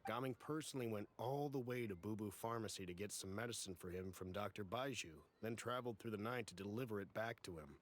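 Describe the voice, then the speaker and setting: An actor playing a kindly middle-aged uncle in a video game, gentle and courteous. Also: male voice, lowish voice, quick pace, hardly any pauses, natural voice. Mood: fond. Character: kindly, grateful older uncle at transport agency. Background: faint game music.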